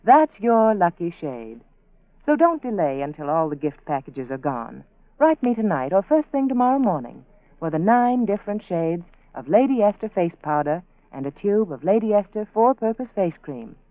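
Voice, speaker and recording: A woman's voice: fairly low pitch, big pitch swings, normal speed, no echo. A woman reading a scripted 1940s radio advertisement as a spokeswoman. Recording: dry, muffled narrow-band vintage radio.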